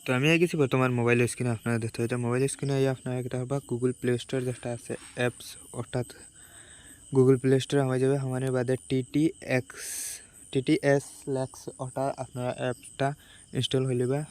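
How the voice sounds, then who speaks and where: Sylheti guy doing a how-to, talks fast and pretty monotone, repeats each step. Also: mid-range voice, clean tone. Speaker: tech vlogger giving a phone tutorial. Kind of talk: casual step-by-step tutorial.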